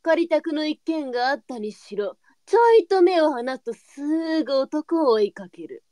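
Woman doing a scolding old-time brothel madam for a radio play, blunt, highish voice, no room echo. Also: big pitch swings, normal speed, dark tone, studio quality. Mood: exasperated. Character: stern, nagging brothel madam, motherly underneath.

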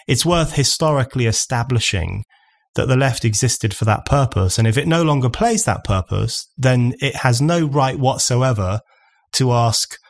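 A man in his forties hosts a podcast from a studio mic. He builds long, earnest arguments and restarts phrases as he goes. His pitch stays in a middling range. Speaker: podcast host. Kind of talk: conversational podcast discussion. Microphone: studio condenser mic on stand.

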